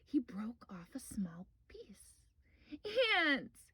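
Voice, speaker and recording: A woman's voice: on the high side, very animated, a little slow, echoey room, far from the mic. A woman hosting story time, speaking simply and expressively to young children as a read-aloud reader. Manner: simple questions and encouragement addressed to small children.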